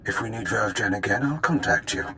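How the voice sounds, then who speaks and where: An actor voicing a resolute terrorist leader in a video game, speaking formally and with authority. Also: male voice, fairly low pitch, fairly quick, bright, forceful tone, slight background noise. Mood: commanding, assured.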